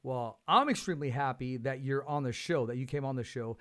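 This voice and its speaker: A man's voice: medium pitch, fairly quick, fairly bright tone. A man hosting a boxing interview show, talking with enthusiastic, hype-driven energy and frequent restarts. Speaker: show host. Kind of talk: casual interview chat.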